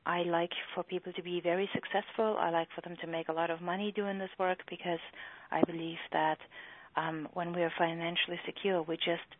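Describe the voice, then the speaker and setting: A middle-aged woman with a German accent, speaking earnestly on a recorded group call and often pausing with fillers. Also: lowish voice, little pitch movement, no room sound. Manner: earnest, halting with many fillers. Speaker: EFT practitioner and trainer. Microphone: phone or call line. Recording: tinny phone-line call recording.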